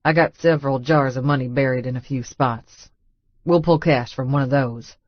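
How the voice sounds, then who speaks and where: A man plays a stubborn, folksy redneck billionaire in audiobook dialogue. His pitch is middling, and the sound is dry and slightly dull. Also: fairly even intonation, moderate pace, dry recording, close to an everyday voice. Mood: matter-of-fact, cocky. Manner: folksy, blunt, colloquial.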